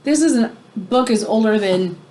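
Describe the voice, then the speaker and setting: A woman reading a children's book aloud in an expressive way, as if explaining it to young listeners. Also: fairly high pitch, normal speed, a little hiss or hum. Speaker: read-aloud storyteller.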